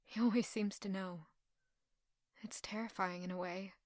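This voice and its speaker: A woman's voice: mid-range voice, fairly even intonation, moderate pace, dry recording, studio quality, close to an everyday voice. Actress as an anguished young court lady, intimate and brooding. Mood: weary, brooding. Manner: intimate, brooding, emotionally intense. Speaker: audio drama actor. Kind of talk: dramatic first-person monologue.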